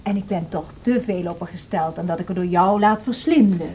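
A woman's voice: fairly low pitch, fairly quick, dark tone, close to an everyday voice. An actress playing a spirited, imperious young married woman in a radio drama, theatrical and haughty. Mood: teasing. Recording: noisy old radio drama recording.

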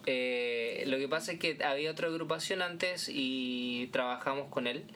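A man's voice: mid-range voice, moderate pace, pushed and bright, slight background noise. A man from Chile, talking casually as an interview guest and repeating words as he searches for phrasing. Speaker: singer, band guest.

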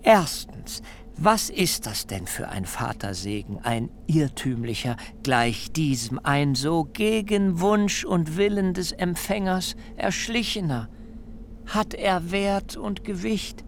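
A biblical fugitive in a radio drama, frightened and full of excuses. His creaky voice pleads slowly and theatrically, sinking at times into hushed near-whispers. There is clear ambient noise behind him. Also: on the high side, expressive. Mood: agitated pleading. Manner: theatrical, beseeching, rhetorical questions, hushed dips into whisper. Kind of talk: literary radio drama acting.

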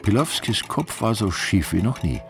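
A wry radio-drama narrator with a very deep voice. His literary storytelling has a lively melody, and music plays behind it. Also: moderate pace, creaky. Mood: wry, suspenseful. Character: wry male storyteller narrating a comic crime tale.

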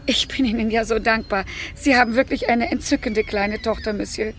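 An actress playing a kind, gossipy caretaker who chatters and fusses in a radio play. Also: female voice, a little high, brisk, faint music behind, natural voice. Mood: gushing. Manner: chatty, fussing, cheerfully talkative.

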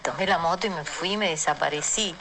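An Argentine woman tells a personal story in a casual way. Her voice sits low and has a clear gravelly fry, and she pauses often for colloquial asides. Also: noisy background. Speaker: storyteller in a listener voice message.

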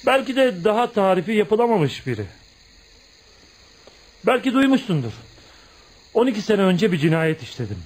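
An actor in a radio play voicing an embittered, proud young outcast who confides in a dramatic, emphatic storytelling manner. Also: male voice, high-pitched, sing-song, moderate pace, long pauses, poor audio. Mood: pained, confiding. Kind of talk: radio theatre acting.

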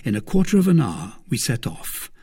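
A man voicing a bold young male gentleman who speaks briskly and wryly, with a husky voice. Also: medium pitch, big pitch swings.

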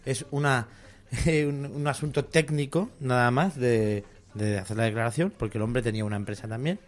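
A man's voice: mid-range voice, normal speed. A man speaking as a comedian guest on a casual comedy talk show, making wry asides with chuckles and restarting his sentences. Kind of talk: casual comedy radio chat.

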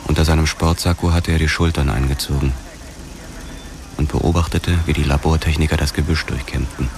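A man narrating in the first person in a measured, hard-boiled style for a German radio drama. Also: extremely low pitch, steady tone, background noise.

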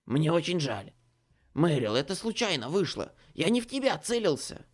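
A man's voice: fairly high pitch, big pitch swings, normal speed, voice pushed up. A man voicing a cowardly young changeling who whines and pleads in a panic, read as an audiobook character. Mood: panicked pleading.

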